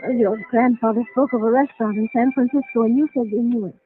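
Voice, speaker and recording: An actress playing an earnest, lovestruck and troubled young woman in a mid-century radio melodrama, measured and dramatic. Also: on the high side, steady tone, lightly acted. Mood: troubled, probing. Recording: tinny vintage radio recording, music behind.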